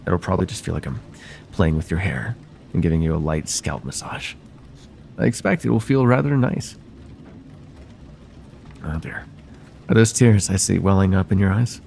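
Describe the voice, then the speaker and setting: A man playing a soothing, quietly possessive vampire therapist in an ASMR roleplay, soft-spoken and deliberate, with formal wording and hypnotic pauses. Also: low voice, gravelly, a little hiss or hum. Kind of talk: ASMR roleplay, slow intimate monologue.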